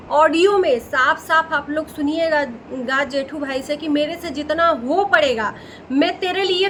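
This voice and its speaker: Female reaction-channel host, high voice, casual and opinionated, repeats phrases for emphasis, some background noise. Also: a bit bright, low-quality recording.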